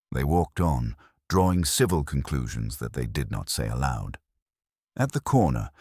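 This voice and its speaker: Very deep husky male narrator, dry sound. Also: darkish tone, dry recording. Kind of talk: scripted literary audiobook narration.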